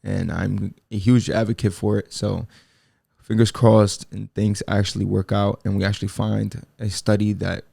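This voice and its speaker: Gravelly young man rambling on a podcast. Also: lowish voice, little pitch movement, normal speed, dark tone, dry recording, clean studio sound. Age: 20s. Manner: rambling, laid-back slang with frequent fillers and restarts. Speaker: podcast host. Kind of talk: casual solo podcast monologue.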